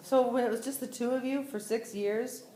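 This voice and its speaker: A woman's voice: on the high side, steady tone, moderate pace, warm tone. A woman interviewing an older relative in a casual way, asking curious, prompting questions. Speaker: interviewer, family member. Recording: roomy home recording with faint noise.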